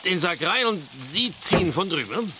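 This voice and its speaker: Casting: officious, helpful beat sergeant for radio drama; mid-pitched, lively intonation, rain noise behind. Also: male voice, normal speed. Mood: bossy, helpful. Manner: matter-of-fact, directing, colloquial. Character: brisk, bossy but helpful French police sergeant. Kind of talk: German crime radio play acting.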